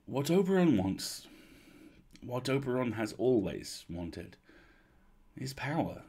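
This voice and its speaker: Guy doing a tired old noblewoman in a storybook, formal, sad n grave, kinda raspy. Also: medium pitch, sing-song, mellow, voice pushed up, exaggerated voice. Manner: formal, measured phrasing, sighing. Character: weary, regretful old duchess, formal and grave.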